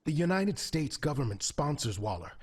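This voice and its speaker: Man as husky, formal, grave young hero. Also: a little high, sing-song, moderate pace. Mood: firm, cautious. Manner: formal, precise. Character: grave, formal young male hero, cautious team leader. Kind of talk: amateur audio drama acting.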